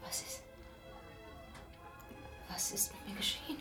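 A woman's voice: unhurried, close to an everyday voice. A woman playing a young opera singer in a radio drama, polite and emotional. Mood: faint, confused. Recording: echoey room with music behind.

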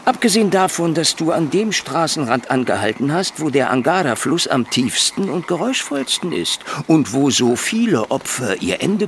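An actor playing a wisecracking, ironic private detective in a noir radio play, drawling taunts and mock endearments. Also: male voice, mid-range voice, a little hiss or hum. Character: sardonic, wisecracking hard-boiled male private detective. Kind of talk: radio play acting with noir first-person narration.

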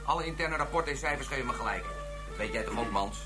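An actor playing a principled, touchy police detective, earnest and indignant, in a radio drama. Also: male voice, mid-range voice, expressive, quick pace, loud music behind the voice, natural voice. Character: principled, touchy male detective championing women colleagues. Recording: chatter behind the voice.

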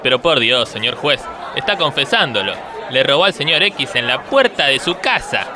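A man's voice: mid-range voice, very animated, normal speed, close to an everyday voice. An Argentine man playing a deferential, earnest prosecutor in a comic radio play, speaking with mock solemnity. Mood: solemn protest. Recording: noisy, with crowd behind.

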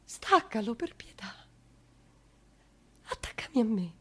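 A woman's voice: fairly high pitch, sing-song, takes it slowly, bright, forceful tone, breathy edge, in a whisper, heavily acted. Wailing, desperate Southern Italian mother. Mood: broken pleading. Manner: repetitive pleading, wailing in bursts. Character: desperate grieving Southern Italian mother begging to suffer instead. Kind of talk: theatrical radio monologue.

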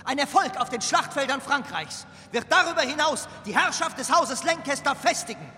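A man's voice: very high-pitched, animated, normal speed, bright, forceful tone, full character voice. An actor playing a medieval English bishop, speaking formally and oratorically for his king in a radio drama. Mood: formal, resolute. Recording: long hall reverb, faint music.

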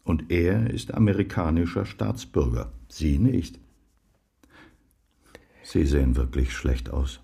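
Blunt seasoned male investigator, radio drama; very deep, gravelly, matter-of-fact. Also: slow pace, long pauses, warm tone, heavily acted. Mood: sober, wry.

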